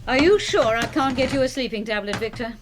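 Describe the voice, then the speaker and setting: An actress playing a practical middle-aged woman doctor with a British accent, brisk and no-nonsense, in a radio drama. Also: a little high, lively intonation, noisy background, lightly acted. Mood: brisk, exasperated. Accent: British English. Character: brisk, practical lady doctor, the colonel's exasperated sister.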